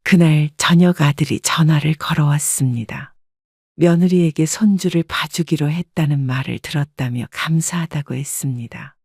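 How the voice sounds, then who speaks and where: A woman's voice: deep voice, normal speed, a little mellow, no room sound, studio quality. A woman reading a scripted first-person story as an audiobook narrator.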